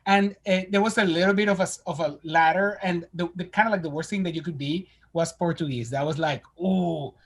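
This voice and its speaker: A man's voice: on the high side, fairly quick, few pauses, husky. A man raised in Venezuela, a native Spanish speaker, telling stories bluntly and with animation as a guest on a casual podcast.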